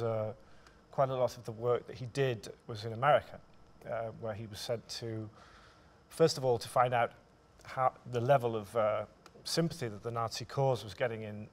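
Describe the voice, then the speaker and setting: A man in his forties with a noticeably gravelly voice of medium pitch speaks as a writer on a stage panel. He tells his account in measured, thoughtful phrases, often halting as he goes. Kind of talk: panel discussion, storytelling. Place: theatre stage, indoors.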